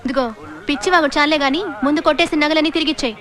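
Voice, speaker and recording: A woman's voice: high voice, steady tone, racing, a bit bright. An actress playing an earnest, plucky young policewoman who pleads and scolds, in a comedy film. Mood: pleading. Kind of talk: Telugu film comedy acting.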